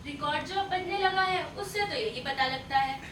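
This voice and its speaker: A disapproving wife and mother acted by a woman frets and nags in a very high voice. The stage sound is echoey, with clear background noise. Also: moderate pace, lightly acted. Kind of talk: Hindi stage play acting. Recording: noisy, echoey, distant stage recording.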